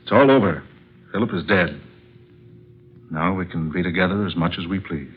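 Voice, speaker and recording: An actor playing a suave, calculating killer in an old radio drama, with a formal, theatrical manner. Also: male voice, deep voice, moderate pace, slightly rough. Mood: suave, controlling. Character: suave, calculating killer, a man haunted by his guilt. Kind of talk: 1940s radio drama acting. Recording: muffled old radio recording with music.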